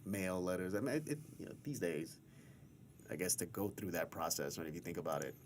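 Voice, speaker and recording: A man hosting a casual interview, bantering and asking questions in a rambling, halting way. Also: low voice, slight background noise. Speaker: interviewer, host.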